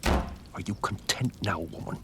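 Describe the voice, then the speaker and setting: A man playing a grave, blunt manservant and huntsman in a gothic audio drama, with a measured, weary, plain-spoken manner. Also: background noise, lightly acted. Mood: exasperated.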